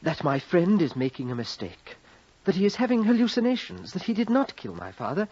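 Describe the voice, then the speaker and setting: Young gentleman heir, calculating, polite, slightly husky, expressive radio-play delivery. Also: sits high, a little fast. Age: young adult. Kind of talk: 1950s radio drama acting. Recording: muffled vintage radio recording.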